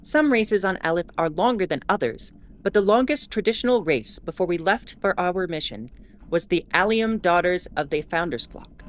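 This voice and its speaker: A woman playing a wry, mildly exasperated starship announcer in a scripted audio drama, explaining in a measured way with asides. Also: fairly low pitch, lightly acted. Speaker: host.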